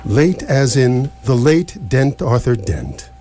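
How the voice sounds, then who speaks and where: An actor in live radio theatre playing an ancient, absent-minded alien planet-builder, stately and portentous yet mildly apologetic. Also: male voice, medium pitch, very animated, slow pace, dark tone. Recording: noisy live stage recording with hum.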